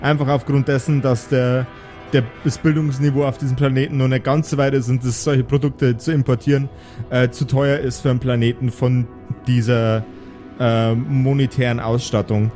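A man narrating an improvised tabletop game as game master, describing scenes in a halting, searching way. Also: medium pitch, level tone, dark tone, faint music behind.

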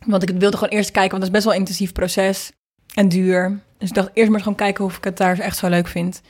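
A woman's voice: medium pitch, little pitch movement, fast talker, darkish tone, slightly rough. A woman from the Netherlands, being interviewed and explaining things informally, thinking aloud as she goes. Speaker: interviewed singer and producer. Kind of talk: casual interview conversation.

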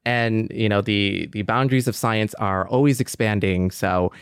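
A man co-hosting a podcast, chatting with enthusiasm and speeding up when he gets excited. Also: lowish voice, smooth, dry recording, studio quality. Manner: enthusiastic, fast when excited, frequent "mm-hmm". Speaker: podcast co-host. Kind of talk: casual chat.

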